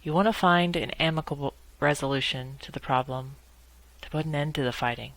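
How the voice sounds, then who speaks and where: Measured woman leading a guided meditation. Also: low-pitched, steady tone, fairly bright tone, dry recording, poor audio. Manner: measured, instructional, with pauses between short phrases.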